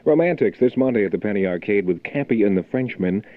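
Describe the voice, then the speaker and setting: A man's voice: lowish voice, animated, fast talker. A man hosting a morning rock radio show, talking in a laid-back, conversational DJ style through announcements. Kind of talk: album rock radio DJ patter with local announcements. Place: radio studio. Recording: muffled phone-like 1980 radio aircheck, music behind. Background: music.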